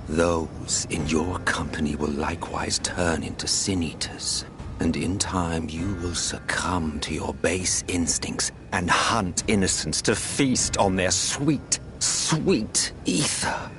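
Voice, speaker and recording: An actor playing an ancient, scornful immortal sorcerer in a video game, theatrical and condescending, with a drawn-out sardonic delivery. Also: male voice, low voice, music in the background. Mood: ominous. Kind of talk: dramatic video game voice acting.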